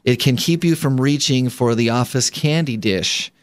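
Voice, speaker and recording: A man's voice: mid-range voice, moderate pace, no room sound. A man hosting a radio show in a studio, chatting casually with plenty of fillers, restarts and easy laughter. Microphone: studio microphone.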